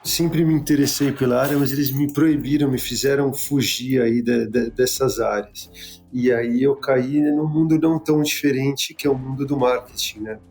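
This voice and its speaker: A man's voice: mid-range voice, steady tone, moderate pace, darkish tone, soft background music. A man from São Paulo, a guest explaining his marketing career plainly, tagging sentences with "né". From São Paulo, Brazil. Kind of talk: casual interview chat.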